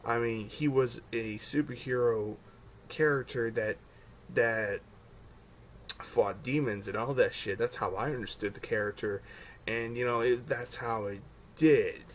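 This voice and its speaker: Male, casual fan talk, average pitch. Stumbling, repetitive, sweary delivery on a noisy amateur recording. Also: fairly even intonation, measured pace. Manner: repetitive, stumbling over words, often swearing. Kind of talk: casual fan chat about comic book films. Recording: noticeable background noise, amateur recording.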